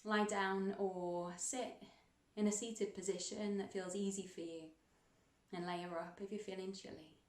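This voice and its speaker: A woman leading a guided meditation in a gentle, soothing, unhurried manner, leaving long pauses between instructions. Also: mid-range voice, steady tone. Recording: roomy, echoing sound.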